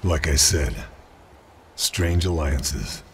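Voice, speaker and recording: Very deep-voiced, grim soldier. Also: male voice, expressive, slight background noise, heavily acted. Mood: dry. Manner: measured, understated, dry. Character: grim, laconic male Allied army sniper, secret agent. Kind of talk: cinematic game acting, briefing narration and dialogue.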